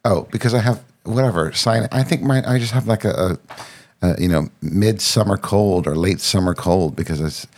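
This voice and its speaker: A man with a deep, noticeably husky voice that is clogged by a sinus cold. He talks in a loose, rambling way, like a podcast host chatting casually with his partner. His throat sounds congested and a bit off. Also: normal speed, darkish tone.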